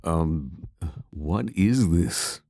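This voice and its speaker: A man plays a worried, skeptical adventurer in a fantasy audio drama. The voice is low and slow, hesitant and fretful, with a very dry studio sound. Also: lively intonation, vocal fry, dark tone, no echo, heavily acted.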